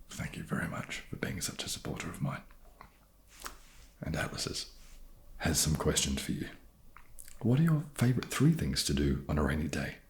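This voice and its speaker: A soft-spoken man casually answering viewer questions in a gentle, wry way. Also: sits low, gravelly. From New Zealand.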